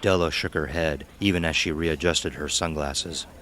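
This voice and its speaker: A man narrating a scripted horror story in a measured, dramatic, suspenseful way. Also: extremely low pitch, steady tone, a bit bright, a little hiss or hum.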